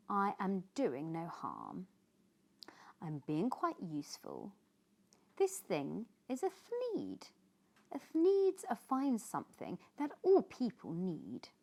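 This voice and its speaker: A woman's voice: mid-range voice, creaky, darkish tone, breathy edge, heavily acted. A woman with a British accent voicing a greedy, boastful male salesman in a storybook, smug and persuasive. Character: greedy, boastful male tree-chopping salesman telling his tale.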